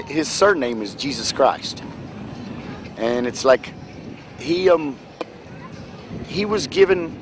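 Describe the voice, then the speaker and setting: A man's voice: fairly high pitch, noisy background. Man rambling a biblical-sounding tale. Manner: rambling, biblical phrasing, halting restarts. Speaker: homeless man interviewed on the street. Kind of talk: rambling storytelling.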